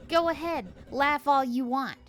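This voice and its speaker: A woman's voice: high voice, measured pace, pitched above the performer's own voice. A woman voicing a proud, arrogant dragon in video game dialogue, with a boastful, threatening manner. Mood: defiant. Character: haughty, proud dragon who lost its powers.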